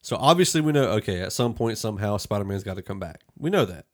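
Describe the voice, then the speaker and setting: A man's voice: lowish voice, animated, fast talker. A man hosting a podcast, talking through a film review in a rambling, conversational way. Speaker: podcast host. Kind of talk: casual movie review discussion.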